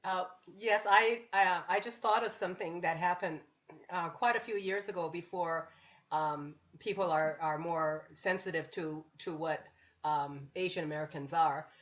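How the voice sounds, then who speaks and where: A woman's voice: lowish voice, unhurried, lo-fi sound. A woman working as a real estate broker, sharing a story animatedly on a community panel. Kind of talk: panel discussion over video call.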